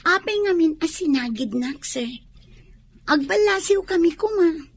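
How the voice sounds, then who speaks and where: An actress with a Filipino accent playing a scheming young college student in a radio drama. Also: female voice, very high voice, animated, measured pace, dry recording, exaggerated voice. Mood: complaining. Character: scheming young college woman feigning injury for money. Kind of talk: Ilocano radio drama acting.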